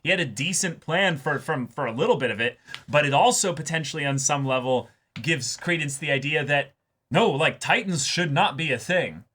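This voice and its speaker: A man co-hosting a casual anime discussion, talking emphatically and haltingly, often repeating words as he builds his point. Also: on the high side, animated, fairly bright tone. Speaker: reaction show co-host.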